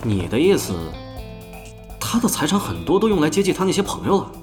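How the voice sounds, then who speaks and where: A young pro gamer, a newcomer full of questions and disbelief. His pitch swings very widely in this audio drama scene, with music behind him. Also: wildly swooping pitch, a little fast. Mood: incredulous. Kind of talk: Mandarin audio drama acting. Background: background music.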